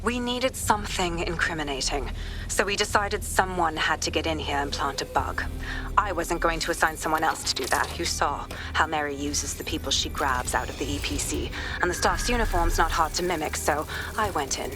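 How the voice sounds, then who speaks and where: An actress playing a hardened, bitter British police detective in a video game, terse and determined. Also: female voice, deep voice, moderate pace, music in the background, natural voice, low-quality recording. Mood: bitter resolve.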